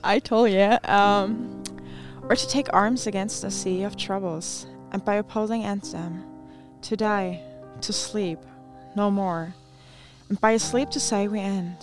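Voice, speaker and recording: A woman with a German accent, a native German speaker, reading a classic monologue aloud and chatting on a podcast, gently and with frequent laughter. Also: medium pitch. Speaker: podcast guest. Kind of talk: casual chat and dramatic reading.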